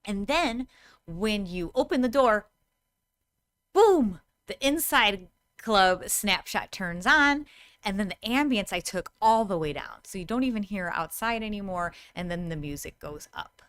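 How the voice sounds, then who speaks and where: A woman's voice: medium pitch, animated, a little slow, fairly bright tone, clean tone. A woman in her thirties giving a tutorial to camera in a conversational, explanatory manner. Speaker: host, VR game designer.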